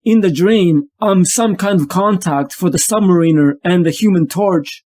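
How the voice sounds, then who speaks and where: A tormented vigilante played by a man narrates in a high-set male voice. He is sick and losing his grip, confessing it all in a brooding, dramatic comic-book monologue. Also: moderate pace, a little mellow. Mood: brooding, troubled.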